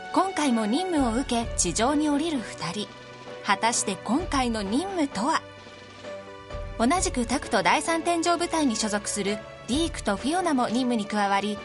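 Female story narrator, high register, scripted radio drama introduction over clear music.

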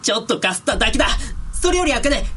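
Actress as hot-blooded young male fighter, fairly high, very animated, brash anime radio-drama delivery. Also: racing, heavy background noise. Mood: confident. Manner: brash, rough-spoken, boastful.

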